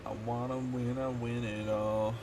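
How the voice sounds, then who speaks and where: A man's voice: mid-range voice, little pitch movement, unhurried, background noise. A young man streaming a game, chatting casually in a rambling, sweary way and sounding a bit stuffed up. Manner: rambling and sweary, lots of fillers. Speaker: gaming streamer. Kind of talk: casual chat while playing a game.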